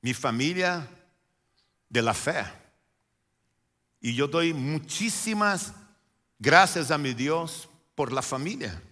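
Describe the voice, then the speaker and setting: A man from Brazil, a native Portuguese speaker, preaching a sermon as pastor in a church with a Brazilian Portuguese accent, repeating phrases and swinging between loud emphatic lines and quiet low ones. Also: in a large hall. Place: church sanctuary.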